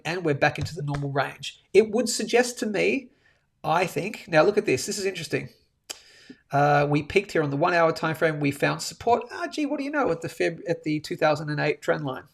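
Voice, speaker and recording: A man hosting a live chart-analysis show, animated and a bit sniffly, tagging his points with "okay?". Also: high-pitched, vocal fry. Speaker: host of a daily live precious-metals show. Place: indoors, a different room than usual.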